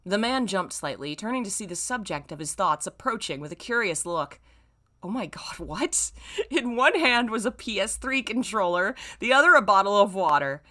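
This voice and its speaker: A woman's voice: mid-range voice, sing-song, moderate pace, bright, forceful tone. A young adult woman hosting a read-aloud of fan fiction, delivered theatrically with playful, exasperated casual asides. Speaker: host reading old fan fiction aloud with commentary.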